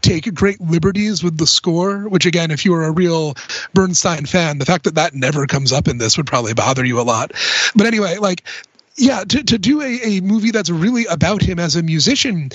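A man's voice: fairly high pitch, lively intonation, a little fast, husky, no room sound, muffled recording. A man talking as a podcast panelist, explaining ideas in a discursive, casual way with plenty of asides.

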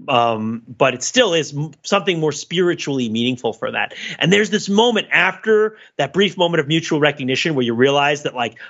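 A man co-hosting a casual, analytical film discussion, talking in an animated, enthusiastic way with many rambling asides. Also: medium pitch, muffled recording. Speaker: podcast co-host.

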